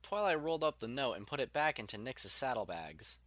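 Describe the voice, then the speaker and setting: A man's voice: mid-range voice, very animated, fairly quick, a bit bright, no echo. A man reading scripted story narration as the narrator of an audiobook.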